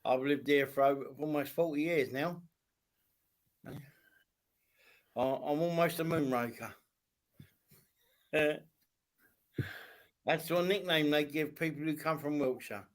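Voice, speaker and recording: A man from Turkey with a slightly high voice talks as a guest on a casual live stream. He is friendly and curious, asks viewers where they are from and laughs easily. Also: slow pace, long pauses. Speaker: medium and spiritual teacher.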